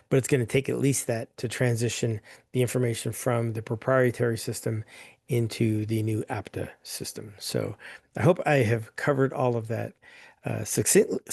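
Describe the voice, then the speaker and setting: A man chairs a board meeting in a hoarse, gravelly voice, with plenty of "um"s and restarts as he works through the items. The recording is dry and close, with no room echo. His pitch sits in the middle range for a man. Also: a little mellow. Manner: plain, rambling, with many fillers. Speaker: governing council chair.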